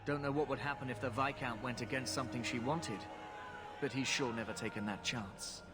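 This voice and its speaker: An actor playing a gruff, contemptuous city gate guard in a video game, blunt and dismissive. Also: male voice, medium pitch, fairly quick, lightly acted. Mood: matter-of-fact, cynical. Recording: clear outdoor ambient noise.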